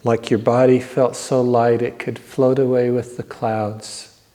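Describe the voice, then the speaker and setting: A man leading a guided yoga nidra meditation in a measured, repetitive, instructing manner. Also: medium pitch, warm tone. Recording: long reverberant echo on voice.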